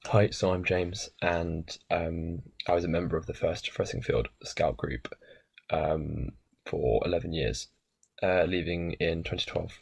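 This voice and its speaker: Gravelly low-voiced young man. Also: steady tone, unhurried. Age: 20s. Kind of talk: informal personal testimonial.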